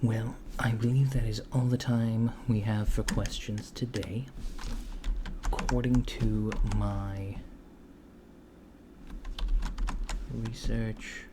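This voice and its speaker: A man playing a curious, puzzled alien researcher in a soft-spoken ASMR roleplay, halting and matter-of-fact, often slipping into whispers. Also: fairly low pitch, flat delivery. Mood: businesslike. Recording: clear background noise with keyboard typing. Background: computer keyboard typing.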